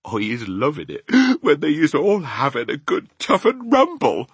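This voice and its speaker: A man voicing a gentle, friendly giant in a children's storybook, confiding in a folksy, ungrammatical way. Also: sits low, big pitch swings, moderate pace, breathy edge, no echo, exaggerated voice. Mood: pleased, knowing. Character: big friendly old-fashioned giant, male, gentle, mangles grammar. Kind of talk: dramatised children's storybook reading.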